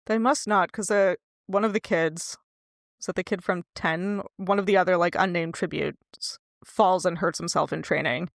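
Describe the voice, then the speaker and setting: A woman co-hosting a casual book discussion in a conversational way, quick to laugh. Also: on the low side, steady tone, creaky, no echo, clean studio sound. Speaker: podcast co-host.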